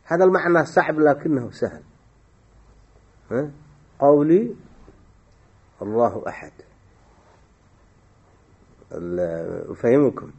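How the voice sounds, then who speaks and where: A husky-voiced man teaching a grammar class, his pitch rising and falling a lot as he explains. He keeps checking on his students with quick questions and repeats key phrases slowly. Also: animated, darkish tone, muffled recording.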